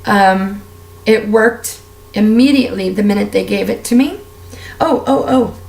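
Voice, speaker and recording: A woman with a raspy, strained voice, talking candidly and at length while doing her makeup for a vlog. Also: medium pitch, a little slow, darkish tone, reverberant. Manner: candid, heartfelt, rambling with fillers. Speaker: beauty vlogger. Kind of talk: casual personal rant while doing makeup.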